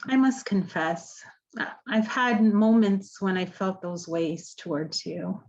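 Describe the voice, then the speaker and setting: Earnest female prison visitor, hesitant, gentle, remorseful, mid-pitched, radio drama, slightly dull recording. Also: slow pace. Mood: earnest, contrite.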